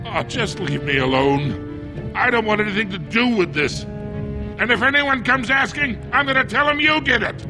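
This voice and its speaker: A man's voice: high voice, expressive, normal speed, music in the background. A man playing a flustered, indignant dwarf shopkeeper in a video game, blustering and defensive. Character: flustered, indignant dwarf shopkeeper, adult male, anxious and grumbling.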